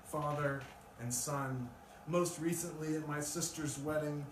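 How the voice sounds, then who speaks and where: A male poet speaks at a live reading in a room. His voice is picked up from a distance with plenty of echo. There is clear, loud noise over the recording. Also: on the high side, steady tone, measured pace. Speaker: poet reading own work. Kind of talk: live poetry reading with casual introduction. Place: live event in a room with an audience.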